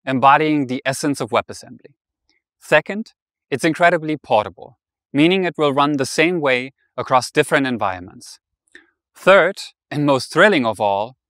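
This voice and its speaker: A man's voice: mid-range voice, fairly bright tone, no room sound, clean studio sound. A young man gives a scripted tech keynote outdoors in a meadow, speaking into a lapel mic in an upbeat, polished way. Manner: upbeat, polished announcer delivery. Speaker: presenter.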